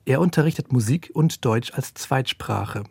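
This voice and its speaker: A man's voice: medium pitch, moderate pace, gravelly, darkish tone, breathy edge, dry recording, clean studio sound. A man narrating a scripted news feature as a reporter. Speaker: radio reporter.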